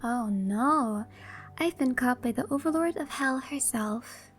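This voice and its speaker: A woman's voice: sits high, takes it slowly, faint music behind. A woman playing an ageless, worldly shaman with a teasing, theatrical manner in a horror-comedy audio drama. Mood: playful.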